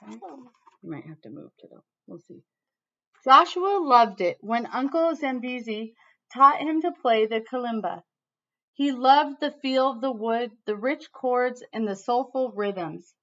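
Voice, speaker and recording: An American woman teacher reading a storybook expressively to students, pausing to explain words. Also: a little high, expressive. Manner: pauses to explain words to children. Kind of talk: expressive read-aloud with explanatory asides. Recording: dull, lo-fi sound.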